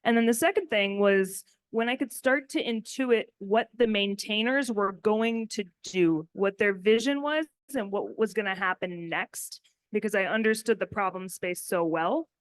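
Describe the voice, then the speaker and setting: A woman with a mid-pitched voice speaks on a tech panel in a dry, close sound. She is candid and informal, answering conversationally. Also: normal speed, dry recording. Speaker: panelist, software developer. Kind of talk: conversational conference panel talk.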